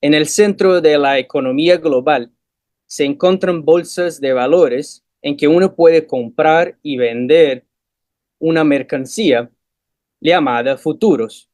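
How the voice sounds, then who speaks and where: A man's voice: a little high, darkish tone, no room sound, clean studio sound. A man whose first language is Portuguese, reading a prepared lecture in Spanish with a Portuguese accent over a video call. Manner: measured, questioning delivery in Spanish mixed with Portuguese. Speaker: guest lecturer.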